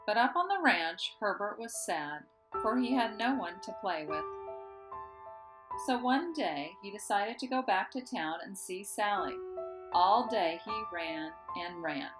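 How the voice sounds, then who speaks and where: A woman's voice: medium pitch, lively intonation, rough recording. Woman reading a children's storybook over music. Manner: deliberate storytelling with emphasised, drawn-out key words. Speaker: kindergarten teacher reading a picture book aloud. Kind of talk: children's storybook read-aloud. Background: instrumental music.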